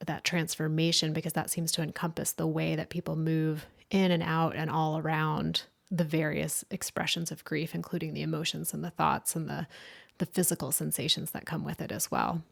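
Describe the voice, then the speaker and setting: A woman's voice: sits low, flat delivery, moderate pace, gravelly. A woman hosting a grief podcast, talking thoughtfully in a casual way, often restarting her sentences. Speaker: podcast host. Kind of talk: conversational interview.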